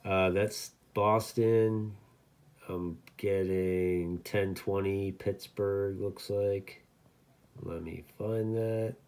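A man casually demonstrating as a radio hobbyist, talking in a halting, rambling, easygoing way. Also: low voice, fairly even intonation, a little mellow. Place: indoors at a radio desk.

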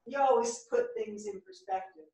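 Actress as older woman friend in stage drama, higher-pitched, heard from far off in a reverberant theatre. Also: expressive, normal speed, gravelly, warm tone, exaggerated voice. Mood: sympathetic. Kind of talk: staged play reading. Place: small theatre stage, seated at music stand. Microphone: far camera.

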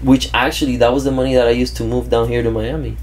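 A man in his thirties who grew up in Kentucky, hosting a casual podcast interview and talking in a relaxed way, often saying "bro", into a studio boom mic. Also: fast talker, a little hiss or hum, poor audio. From grew up in Kentucky, born in Cuba. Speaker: podcast host and tattoo artist. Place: indoor studio with leather couch. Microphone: studio mic on boom arm.

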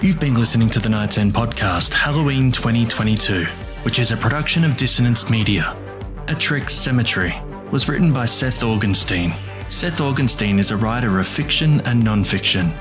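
A man's voice: mid-range voice, animated, normal speed, over music. A man reading a scripted podcast outro and credits as the announcer.

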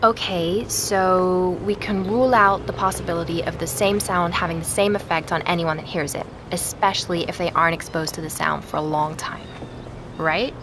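Woman acting nosy stubborn lady podcaster, slightly low voice, chatty audio drama, noisy background. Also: fairly even intonation, normal speed, close to an everyday voice. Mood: curious. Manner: questioning, conversational. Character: inquisitive, stubborn female podcast investigator. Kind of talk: naturalistic audio drama dialogue.

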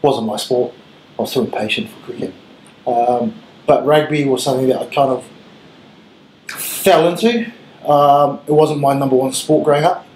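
A man's voice: mid-range voice, a little mellow. Man, New Zealand accent, 30s, earnest coach, echoey room, faint hiss. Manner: earnest, measured, with filler ums. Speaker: rugby head coach. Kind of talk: interview answers. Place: small room with gray wall, seated at table.

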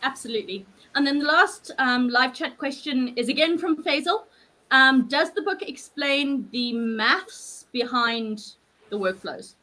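A woman's voice: high-pitched, animated, a little slow, pushed and bright, poor audio. A woman acting as moderator, relaying audience questions on a video call.

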